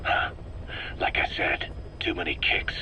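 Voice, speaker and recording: A man playing a gruff, guarded military commander in a video game, speaking tersely and defensively. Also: a little slow, sharp tone. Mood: curt, guarded. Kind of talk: scripted video game dialogue over radio. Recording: radio tape with background noise.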